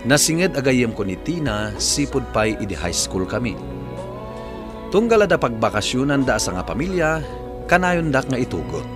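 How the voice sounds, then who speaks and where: A man's voice: mid-range voice, very animated, moderate pace, over music. A man with an Ilocano accent narrating a scripted letter as presenter of a radio drama programme.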